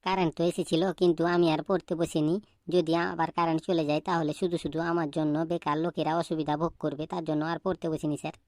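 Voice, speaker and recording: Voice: medium pitch, little pitch movement, fast talker, darkish tone, no echo, studio quality, lightly acted. A performer voicing a cheeky schoolboy in a comedy cartoon, earnestly reeling off wordy, silly answers. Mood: matter-of-fact excusing.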